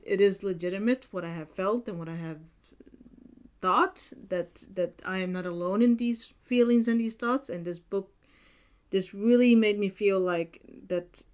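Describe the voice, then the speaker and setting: A woman's voice: medium pitch, clean tone. A young woman from Sweden talking earnestly in a casual book vlog, with many fillers and restarts. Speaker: book vlogger.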